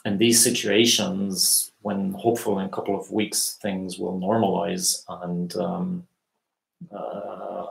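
A man in his thirties answering viewers' questions in a relaxed live lesson, pausing often with fillers, on a webcam in a small room. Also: deep voice, vocal fry. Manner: relaxed, thinking aloud with many fillers. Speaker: language teacher. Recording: echoey room.

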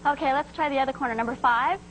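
A woman in her twenties from the United States, a soft-spoken game show contestant on a studio stage. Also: high voice, rapid-fire, background noise. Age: 20s. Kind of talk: casual game play.

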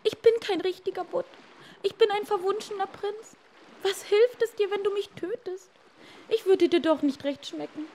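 Storybook talking flounder, an enchanted prince, high and imploring, played by a woman. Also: moderate pace, mellow, slight background noise. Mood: pleading.

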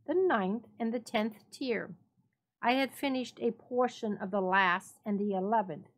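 A woman narrating a written story as a dramatic reading, in the role of story reader. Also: medium pitch. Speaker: library staff story reader.

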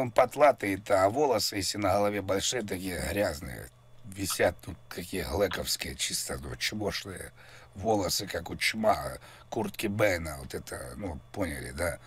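Low, husky man on a prank call. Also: animated, unhurried. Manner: rambling, crude, mock-serious. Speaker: prank caller. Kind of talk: rambling prank phone call.

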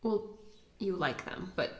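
A woman playing a sick, sweet young woman flustered by a crush, in an intimate audio roleplay with halting asides to herself. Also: fairly low pitch, expressive, breathy edge, full character voice. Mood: self-doubting. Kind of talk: intimate one-sided audio roleplay acting.

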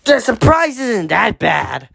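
A performer voicing a manic, menacing stork mascot from an animated horror story, theatrical and falsely reassuring. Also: mid-range voice, takes it slowly, vocal fry, breathy edge, heavily acted. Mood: announcing, sinister. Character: manic, menacing stork mascot luring late-night visitors. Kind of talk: animated horror character voice lines.

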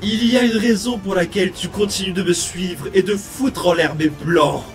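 A man's voice: high-pitched, moderate pace, soft background music, lightly acted. A man playing a bitter, wounded demon boy in a dramatic comic dub, brooding and menacing. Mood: cold menace.

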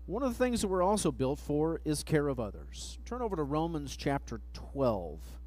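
A middle-aged man preaching a sermon at a church pulpit mic, reading scripture slowly and letting phrase ends trail off. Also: a little high, sing-song, darkish tone, low-quality recording. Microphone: pulpit microphone, far camera.

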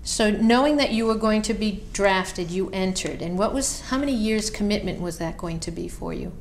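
Polite woman interviewer, mid pitch. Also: slight background noise. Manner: polite, measured questioning. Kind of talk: oral history interview. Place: library room.

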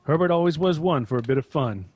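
Husky, genial older father. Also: a little high, animated, quick pace, dry recording. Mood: jovial, coaxing. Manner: chummy, coaxing. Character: genial, curious older father, coaxing host to his friend. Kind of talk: radio drama acting.

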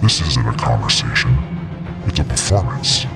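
An extremely low, menacing demon lord for a comic book dub. He is haughty and sardonic, drawing out his taunts with theatrical relish. Loud music plays beneath him. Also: animated, normal speed. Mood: mocking, amused.

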